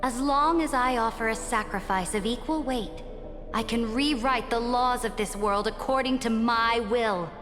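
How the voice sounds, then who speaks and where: An actress playing an imperious, ambitious emperor in a video game, proud and calculating, with a regal, commanding delivery. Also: female voice, on the high side, normal speed, a bit bright, in a large hall, faint music behind, close to an everyday voice. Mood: proud, resolute. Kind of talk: scripted video game voice acting.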